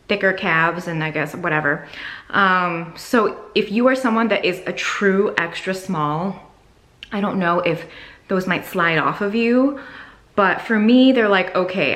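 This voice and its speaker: A woman's voice: lowish voice, a bit bright, echoey room. A young woman vlogging casually to camera, chatty and full of "like" fillers, sounding slightly congested.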